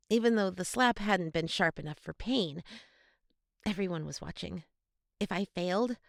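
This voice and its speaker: A woman giving an expressive, dramatic first-person audiobook narration of young adult fantasy. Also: fairly low pitch, creaky, no room sound, clean studio sound.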